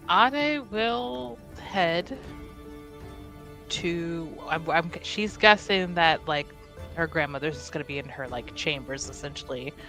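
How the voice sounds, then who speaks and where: A woman describes her character's actions in a tabletop game, pausing often and thinking aloud. Her voice sits a little low and her pace is unhurried. Soft music plays faintly underneath. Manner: hesitant, thinking aloud with many fillers. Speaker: player. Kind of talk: in-character tabletop roleplay narration.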